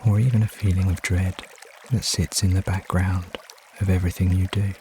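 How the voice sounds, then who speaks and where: A man leading a guided meditation as narrator, giving soothing, unhurried instructions with frequent pauses. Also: sits low, slight background noise. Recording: steady water ambience behind voice. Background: trickling stream water sounds.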